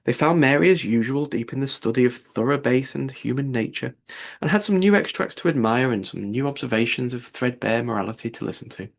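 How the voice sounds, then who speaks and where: A man reads a classic novel aloud as an audiobook narrator. His voice is mid-pitched with a gravelly creak and a husky, rough-edged tone. The delivery is scripted literary narration. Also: animated, brisk.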